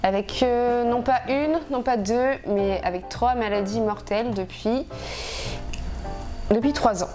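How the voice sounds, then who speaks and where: A woman's voice: a little high, a little slow, over music. A woman in her twenties from southern France, talking frankly as an interview guest with many fillers and restarts. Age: 20s. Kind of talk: casual interview talk.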